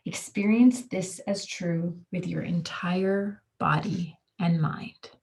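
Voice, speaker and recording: Calm lady doing a yoga nidra guide, lowish voice, soothing and measured. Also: vocal fry. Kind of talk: guided relaxation meditation.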